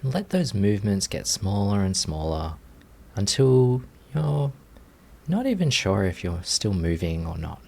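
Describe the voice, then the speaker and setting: A man leading a guided meditation as a teacher, speaking gently and invitingly with long, unhurried pauses. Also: lowish voice, very animated, vocal fry.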